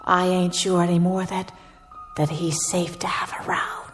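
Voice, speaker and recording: A woman's voice: fairly low pitch, level tone, a little slow, music in the background, natural voice. Woman playing a folksy, gossipy cleaning lady. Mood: wry, warning. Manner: folksy, colloquial, wry. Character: gossipy, wary working-class female building cleaner. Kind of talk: radio drama acting.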